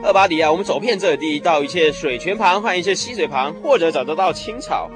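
A man's voice: a little high, expressive, fast talker, music in the background, close to an everyday voice. An actor playing a petulant, vengeful king in a radio drama, blustering and whining. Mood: grumbling. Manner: whiny, blustering. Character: King Ahab, petulant, grumbling, vengeful male Israelite king. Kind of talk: Mandarin radio drama acting.

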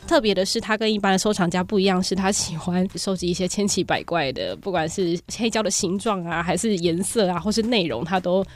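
A woman's voice: lowish voice, brisk, hardly any pauses. A woman with a Taiwanese Mandarin accent, hosting a podcast in a conversational way. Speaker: podcast host. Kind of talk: conversational podcast introduction and banter.

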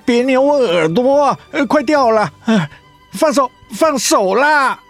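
A lively, coaxing Taiwanese husband. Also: male voice, very high voice, measured pace, soft background music, full character voice. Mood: playful pleading. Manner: coaxing, stammering when cornered. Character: devoted, teasing, easily flustered middle-aged husband. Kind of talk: radio drama dialogue.

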